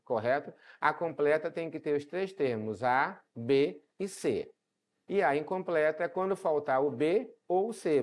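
A man with a Brazilian accent teaching a math video lesson step by step, often posing questions to check understanding. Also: a little high. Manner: didactic, asks rhetorical questions and checks in.

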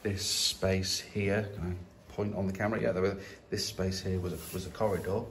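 A man's voice: sits low, little pitch movement, normal speed, slight background noise. A man giving a casual walkthrough of an empty house, talking with many fillers and restarts into a handheld phone. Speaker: property investor. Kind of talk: walkthrough video. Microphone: phone at arm's length.

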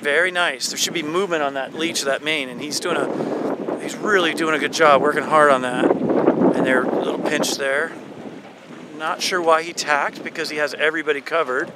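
A man with a slightly high voice coaches a sailing team from a motorboat. He mixes calm explanation with shouted commands to the sailors. Wind noise roars across the camera mic. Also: moderate pace. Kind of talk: live on-the-water coaching commentary. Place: outdoors on a motorboat on a lake.

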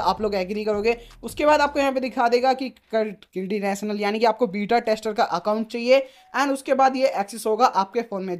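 A man giving a casual phone tutorial as a tech YouTuber, mixing in English terms and leaning on repeated filler phrases. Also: very high voice.

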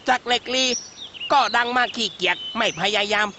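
A man's voice: extremely high pitch, moderate pace, noisy background. A man voicing an exasperated, scolding father with a Chinese accent in his Thai, in a children's cartoon. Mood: exasperated complaint. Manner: fretful, scolding, bewildered. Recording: muffled audio with music behind.

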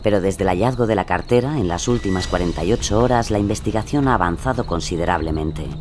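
A man's voice: low voice, quick pace, over loud music. A man reading scripted, dramatic true-crime narration as the narrator.

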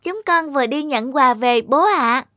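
A woman's voice: high-pitched, lively intonation, measured pace, a bit bright, no room sound, faint music behind. A woman voicing a sweet, earnest little girl in a cartoon, childlike in manner. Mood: eager, proud. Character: sweet, earnest little girl, eager to please her father. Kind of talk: acted cartoon dialogue.

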